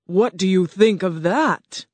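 A narrator voicing a huge, terrifying wizard who speaks slowly and loudly, with a higher, distant-sounding voice. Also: no room sound. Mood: booming. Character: booming giant wizard head, terrifying.

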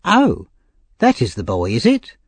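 A man's voice: mid-range voice, wildly swooping pitch, unhurried, husky, no room sound, voice pushed up, heavily acted. Man voicing a civil, lilting thin undertaker. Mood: civil, curious. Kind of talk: audiobook character voice.

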